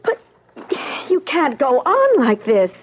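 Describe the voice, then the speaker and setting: A woman's voice: high voice, wildly swooping pitch, slow, heavily acted. An actress playing a skeptical, wounded young American girlfriend in an old-time radio drama, dry and incredulous. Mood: pleading. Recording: muffled radio drama recording.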